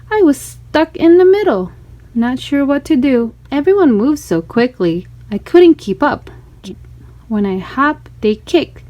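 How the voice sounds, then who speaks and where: A woman narrating a children's storybook in an expressive read-aloud style. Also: sits high.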